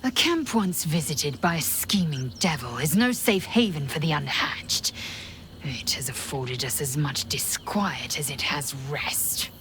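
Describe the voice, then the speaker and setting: An actress playing a stern, proud alien warrior woman in a video game, clipped and commanding, with a breathy, hissed edge and music behind her. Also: deep voice, animated, measured pace, a bit bright. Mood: stern, protective. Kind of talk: video game character acting.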